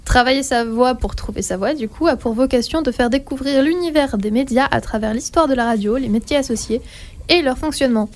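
A woman's voice: high voice, a little fast, a little mellow. A woman of twenty-three co-hosting a radio show, asking trainees questions and reading a presentation. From France. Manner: asks questions, reads a presentation.

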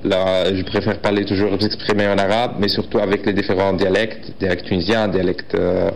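A man introducing himself in a hesitant way, pausing and restarting often. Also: low-pitched, level tone, creaky, a little hiss or hum. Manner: hesitant, frequent restarts and fillers. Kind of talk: personal introduction.

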